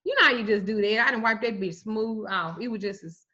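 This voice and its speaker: A woman in her forties, a spiritual reader, telling stories on a livestream in a blunt, profane, animated way. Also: mid-range voice, fairly quick. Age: 40s. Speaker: spiritual reader and root worker. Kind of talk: casual livestream talk. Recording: muffled lo-fi stream audio.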